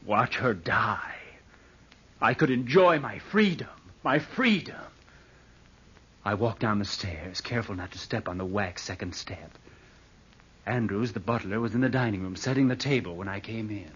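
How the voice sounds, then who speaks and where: An actor playing a murderous, imperious young heir in a theatrical 1940s radio drama style, brooding and curt. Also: male voice, fairly low pitch, lively intonation, normal speed, a bit bright, breathy edge. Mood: feverish anticipation. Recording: muffled old radio drama recording.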